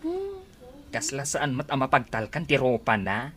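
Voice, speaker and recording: An actress playing an excitable, suspicious household woman in Ilocano radio comedy drama, with an Ilocano accent. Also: very deep voice, moderate pace, heavily acted. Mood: suspicious, annoyed. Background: music.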